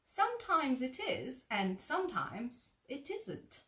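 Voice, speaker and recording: A woman voicing a slow-witted, earnest male storybook bear who muses in a deliberate, mysterious way. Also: high voice, very animated, a bit bright, echoey room, recorded from a distance, voice pushed up, heavily acted. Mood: puzzled, earnest.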